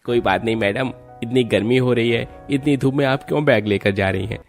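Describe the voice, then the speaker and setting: A man's voice: medium pitch, fairly quick, soft background music, natural voice. A man voicing a courteous, helpful young neighbourhood man in a cartoon story. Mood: helpful, polite. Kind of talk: Hindi cartoon voice acting.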